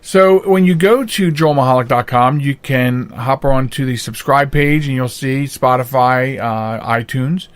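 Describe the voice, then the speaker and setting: A man's voice: medium pitch, measured pace, few pauses, dry recording. A man hosting a podcast in casual, chatty, rambling and jokey style. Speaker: podcast host. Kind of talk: casual chat.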